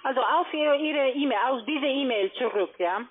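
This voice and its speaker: A woman giving call centre instructions in halting, non-fluent phrases. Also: high-pitched, breathy edge. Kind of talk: phone instructions, halting speech. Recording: narrow tinny phone line.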